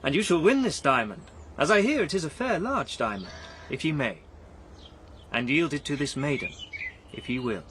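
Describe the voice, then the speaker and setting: An actor playing a great, world-worn knight in a verse radio drama, formal, measured, guarded and courteous. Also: male voice, on the high side, very animated, fairly bright tone, a little hiss or hum. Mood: courtly gentleness.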